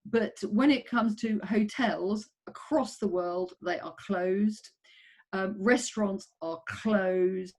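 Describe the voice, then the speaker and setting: A woman's voice: mid-range voice, takes it slowly. A middle-aged woman answering interview questions as a tourism researcher, talking earnestly with frequent ums and self-corrections.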